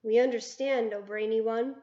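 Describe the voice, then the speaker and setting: A woman voicing a crowd of eager, fawning witches who cry out in excitement. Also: on the high side, moderate pace, natural voice. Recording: roomy, dull lo-fi sound.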